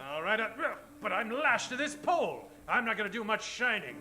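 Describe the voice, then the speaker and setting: Man playing bright, high-voiced radio detective hero. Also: very animated, a little fast, slight background noise, heavily acted. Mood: startled, quipping. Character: wisecracking golf-loving male detective hero of a radio parody. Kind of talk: improvised old-time radio acting.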